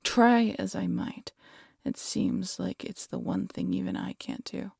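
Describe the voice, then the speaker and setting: A woman playing a proud, vengeful demon queen in an audio roleplay, theatrical and menacing, often dropping to a breathy hush. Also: medium pitch, sing-song, unhurried, no room sound, in a whisper, studio quality, exaggerated voice. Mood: bitter resignation. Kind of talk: scripted audio roleplay addressed to listener.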